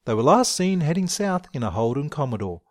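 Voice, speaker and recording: An Australian radio newsreader, acted by a man inside an audio story. He delivers the bulletin in a formal, measured way and keeps it matter-of-fact. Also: on the high side, very animated.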